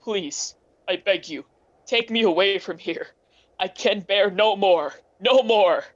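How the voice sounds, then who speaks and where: A teenage girl playing a troubled, remorseful old male miser in a radio play, earnest and halting. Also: medium pitch, no echo, lo-fi sound. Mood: pleading. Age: teens. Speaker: student actor.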